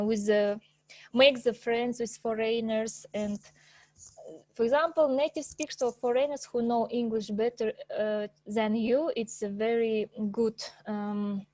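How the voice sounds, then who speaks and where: A woman whose first language is Russian gives an informal presentation over a video call, pausing often with fillers and restarts. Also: fairly high pitch, a little mellow, no room sound. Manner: hesitant, many fillers and restarts, laughs often. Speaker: presenter at an English club.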